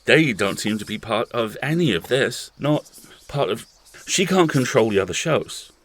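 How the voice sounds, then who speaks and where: An actor playing a defiant, desperate captive show host, speaking in an urgent, clipped way in an audio horror drama. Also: male voice, mid-range voice, lively intonation, normal speed, fairly bright tone, no room sound.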